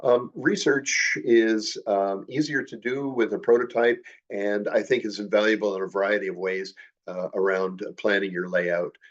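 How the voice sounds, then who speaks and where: A man's voice: lowish voice, fairly even intonation, normal speed, highs cut off. An older man giving a slide presentation, with a congested, nasal voice from a cold, talking hesitantly with many fillers and self-corrections.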